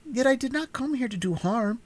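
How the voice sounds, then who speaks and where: A man voicing a calm, gracious young girl ruler in a storybook reading. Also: very high-pitched, brisk, pitched above the performer's own voice. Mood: composed. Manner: composed, quietly firm.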